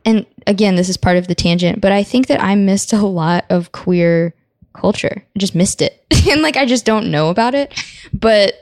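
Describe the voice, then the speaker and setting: A woman in her twenties from the southern US chats as an interview guest, laughing and drifting into tangents. Her voice sits at a middle pitch. The recording is close and dry. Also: normal speed, a little mellow, no room sound. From Southern US, Tennessee. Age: 20s. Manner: laughing, tangent-prone, self-deprecating. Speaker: musician guest. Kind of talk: casual interview chat.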